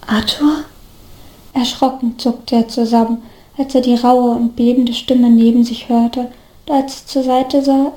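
High-pitched woman reading a story, even tone. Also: reverberant. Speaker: story narrator. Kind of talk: scripted narration of a story.

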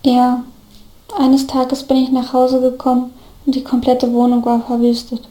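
A woman voicing a devoted, earnest young man in storybook dialogue, gently reassuring his best friend. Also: high voice, monotone, moderate pace, reverberant, close to an everyday voice, poor audio. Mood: wistful.

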